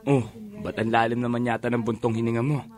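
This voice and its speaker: A man's voice: mid-range voice, level tone, fast talker, darkish tone, natural voice. A man acting as an affectionate, concerned husband and father in a Filipino audio drama, speaking familiarly.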